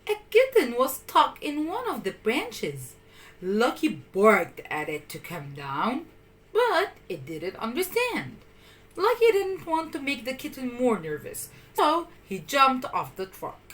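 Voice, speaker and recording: A woman reading a children's story aloud in an expressive, theatrical and deliberate way. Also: sits high. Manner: animated, theatrical, deliberate delivery.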